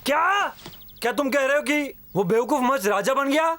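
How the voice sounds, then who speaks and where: A man's voice: very high-pitched, expressive, moderate pace, bright, forceful tone, soft background music, heavily acted. A man playing a scornful, self-serving schemer in a Hindi cartoon dub, blustery and dismissive. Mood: scornful, scheming.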